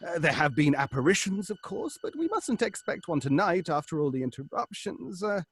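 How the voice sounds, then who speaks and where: Earnest, stammering séance leader, highish voice. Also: male voice, big pitch swings, a little fast, slightly rough. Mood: hesitant, cautioning. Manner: hesitant, earnest, admonishing. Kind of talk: old-fashioned radio horror drama.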